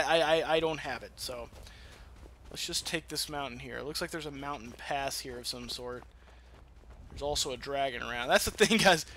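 A man's voice: on the high side, pushed and bright. A man giving casual, rambling, profane commentary as he hosts a gameplay video. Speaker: gamer hosting a let's play. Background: video game ambience.

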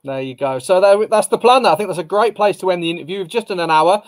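A man's voice: high voice, fast. A man, hosting a casual video-call interview, chatty and agreeable. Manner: chatty, agreeable, leading questions. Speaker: interview host. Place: indoor room with banner behind. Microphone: video call webcam.

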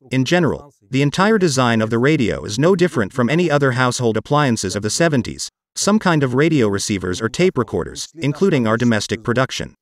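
A middle-aged man with a Russian accent giving a scripted, matter-of-fact technical explanation as a presenter of radio reviews. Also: mid-range voice. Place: small dim room with computer desk.

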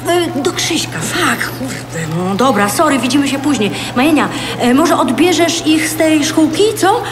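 A woman's voice: sits high, expressive, measured pace, over music. An actress playing a bold, flirtatious, self-assured woman in her forties in an audio drama, with a teasing, natural delivery. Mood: flustered, hurried. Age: 40s.

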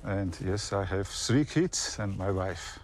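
Low-voiced, halting middle-aged Austrian man. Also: animated. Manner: halting non-native English. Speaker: ski coach. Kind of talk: interview answers. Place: inside a van or camper. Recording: music behind voice.

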